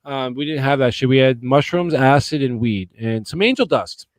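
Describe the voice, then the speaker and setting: A man's voice: mid-range voice, animated, moderate pace, no echo. A man in his forties from the Boston area, chatting casually as a podcast guest, rambling and swearing, often asking "you know what I mean?". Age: 40s. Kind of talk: casual chat.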